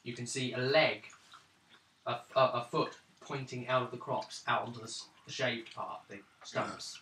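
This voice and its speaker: A male game master narrates at a table in halting phrases. His mid-pitched voice sits back from the mic in an echoey room, with clear background noise. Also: fairly even intonation, bright, forceful tone. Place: indoors around a gaming table. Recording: roomy, distant mic, clearly noisy.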